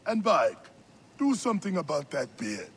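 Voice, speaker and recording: A man playing a warm, teasing village protector and loyal friend in an ancient-world video game. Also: fairly high pitch, sing-song, normal speed, breathy edge, heavily acted. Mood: genial, teasing. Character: genial male village protector, warrior's teasing old friend. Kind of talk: dramatic video game acting. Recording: music behind the voice.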